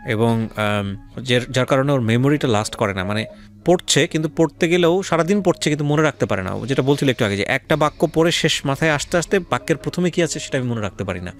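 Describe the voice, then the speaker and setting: A man's voice: medium pitch, animated, quick pace. A man working as a radio host, interviewing a guest and explaining points emphatically to listeners. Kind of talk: life-story interview, explaining and prompting.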